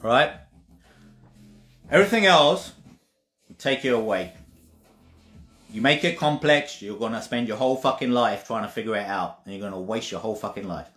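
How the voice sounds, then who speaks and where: A man's voice: fairly low pitch, expressive, normal speed, pauses often, a bit bright. A man giving a casual spiritual talk, emphatic and repetitive, sometimes swearing. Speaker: spiritual teacher. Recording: roomy echo with faint music.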